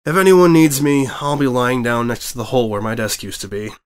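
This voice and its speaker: A man's voice: medium pitch, steady tone, fairly quick, close to an everyday voice. A man voicing a furious frazzled mare editor. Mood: relieved. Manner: shouting, fuming, manic. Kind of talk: fanfic audiobook reading.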